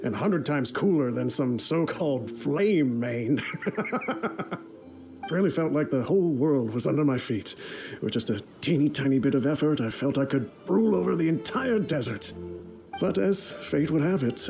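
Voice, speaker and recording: Old mercenary dad, rambly, cocky but loving, game voiceover with music behind. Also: male voice, medium pitch, expressive, moderate pace, creaky, mellow. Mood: boastful, nostalgic. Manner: rambling, chuckling, jovial. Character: ailing old mercenary father, boastful, roguish, affectionate. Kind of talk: letter voice-over in a video game.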